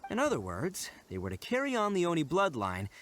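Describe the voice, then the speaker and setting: A man's voice: a little high, huge pitch swings, brisk, fairly bright tone, slight background noise. A man playing a proud, brash, earnest young oni gang leader in a video game, speaking emphatically. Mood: earnest storytelling. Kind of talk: scripted video game voice acting.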